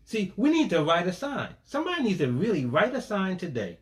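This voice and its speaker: A man's voice: sits high, wildly swooping pitch, brisk. A man preaching a sermon, calling for amens and mixing scripture with folksy everyday stories. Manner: preaching with call-and-response, folksy asides, repeated "amen". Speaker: pastor.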